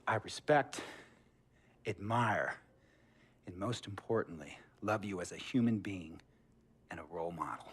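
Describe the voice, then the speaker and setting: A man's voice: low-pitched, animated, a little slow, pauses often, slightly rough, full character voice. A man voicing a pushy billionaire team owner in a video game cutscene, lecturing in a stern, bossy way. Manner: bossy lecturing with sudden loud outbursts.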